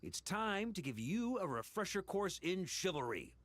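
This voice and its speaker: Highly animated, brash friend. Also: male voice. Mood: rousing, chiding. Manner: theatrical, brisk, exhorting. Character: brash, encouraging male friend urging courage.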